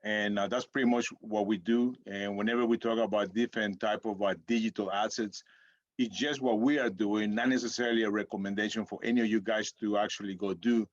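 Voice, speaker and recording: Middle-aged dad co-host, meandering casual talk, muffled dry recording. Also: male voice, on the low side, fairly even intonation, brisk, no echo. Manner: rambling, searching for words. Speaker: podcast co-host, father. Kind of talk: casual chat. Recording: dull lo-fi sound, dry room.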